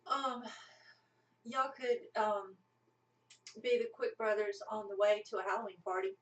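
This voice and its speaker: Female voice, mid pitch, tentative casual chat, roomy echo, far from mic. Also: unhurried. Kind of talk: casual, off-hand suggestions. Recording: echoey room, distant mic.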